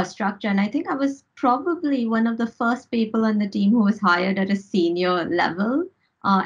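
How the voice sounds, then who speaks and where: A woman answers an interview question in a reflective, hedging way, at a middling pitch. The recording is a little dull and lo-fi, as if from a call. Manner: hedging, often says "sort of". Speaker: interviewee, creative team lead.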